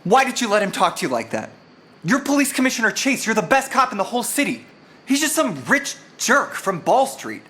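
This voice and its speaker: Animated, earnest young rookie cop. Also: medium pitch, brisk. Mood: upset, earnest. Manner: eager, emotional. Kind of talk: audio drama acting.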